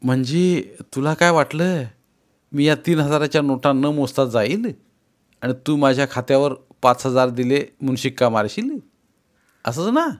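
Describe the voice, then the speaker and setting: A man voicing a shrewd middle-aged moneylender who talks in a sharp, loud, scolding way. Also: mid-range voice, lively intonation, normal speed, voice pushed up, natural voice. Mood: suspicious.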